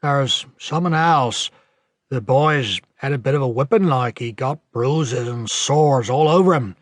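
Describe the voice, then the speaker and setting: A man voicing a gruff, caring old countryman with a rural English accent, terse and plain-spoken in an audiobook. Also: medium pitch, a little slow, dry recording, clean studio sound, voice pushed up, natural voice. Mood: plain, concerned. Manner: terse, rustic dialect phrasing.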